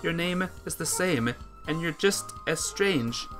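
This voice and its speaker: Guy doing a high pouty anime game girl, excitable n earnest, music behind. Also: takes it slowly. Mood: earnest, anxious.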